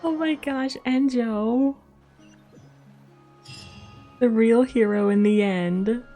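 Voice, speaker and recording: A woman's voice: fairly high pitch, drawn-out words, mellow. A woman streaming a video game, giving casual live commentary as she plays. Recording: game music behind the voice.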